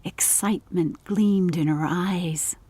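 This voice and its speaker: A woman narrating a scripted audiobook story in a measured, deliberate, literary manner. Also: lowish voice, mellow, slightly rough.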